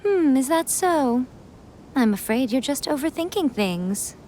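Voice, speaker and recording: A woman's voice: sits high, sing-song, measured pace, mellow, a little hiss or hum. A woman playing a sly, languid, elegant lady in a video game, coy and poised. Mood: coy, unruffled. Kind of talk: scripted video game dialogue.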